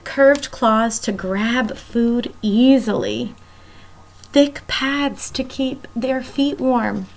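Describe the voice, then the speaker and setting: A woman's voice: on the high side, fairly bright tone. A woman teacher reading a nonfiction children's book aloud, talking straight to young listeners and explaining things simply. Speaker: elementary school teacher.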